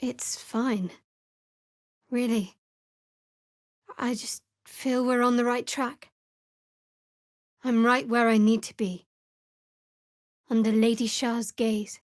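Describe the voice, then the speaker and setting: High-voiced, guarded fantasy cleric. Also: female voice, level tone, slow, long pauses, dry recording, studio quality. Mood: calm, devout. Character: guarded, wry female cleric of a dark goddess. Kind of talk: fantasy video game voice acting.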